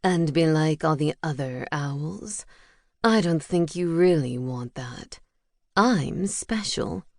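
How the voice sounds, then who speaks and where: A smug little male owl, vain and sardonic, his lines pitched lower and slower than the narration around him, as a woman puts him on for a storybook. He sounds dry and unbothered, with the recording tight and close. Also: no echo, exaggerated voice. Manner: dry, deadpan and self-satisfied.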